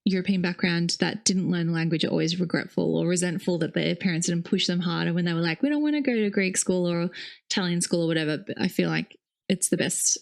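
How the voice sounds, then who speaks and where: A woman's voice: on the low side, quick pace, gravelly. A woman co-hosting a podcast, chatting casually and laughing often. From Australia. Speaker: podcast co-host. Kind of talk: casual chat.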